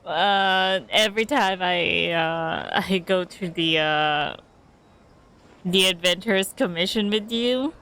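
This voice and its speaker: A woman's voice: on the low side, takes it slowly, pushed and bright, smooth. A woman giving casual commentary as she streams a video game.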